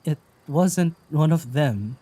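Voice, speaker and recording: An actress playing an evasive, low-key mystic traveler in a naturalistic audio drama, hesitant and understated. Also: female voice, expressive, slow, dark tone, breathy edge, exaggerated voice. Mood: uncertain.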